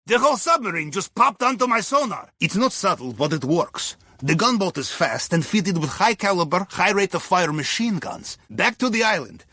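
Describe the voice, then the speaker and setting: An actor playing a jovial, crafty Russian submarine captain in a video game, planning heists in a wry, conspiratorial way with a raspy voice and clipped non-native phrasing. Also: male voice, high-pitched, animated, normal speed, hardly any pauses, pushed and bright, no echo, heavily acted. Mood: wry, genial. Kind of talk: video game mission dialogue.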